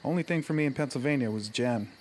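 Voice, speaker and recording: A man playing a brash but loyal small-town teenage football jock in an audio drama, blunt, slangy and halting. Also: mid-range voice, a little hiss or hum, close to an everyday voice. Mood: self-deprecating. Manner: blunt, slangy, halting over big words. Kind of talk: naturalistic audio drama acting. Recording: faint outdoor night ambience with crickets.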